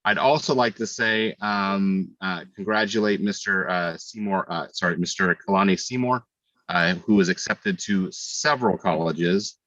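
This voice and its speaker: A middle-aged man with a low voice gives remarks as a school board trustee. He speaks haltingly, repeating words as he goes. The sound is close and dry but somewhat dull. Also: sharp tone, no echo. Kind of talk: remarks at a public meeting.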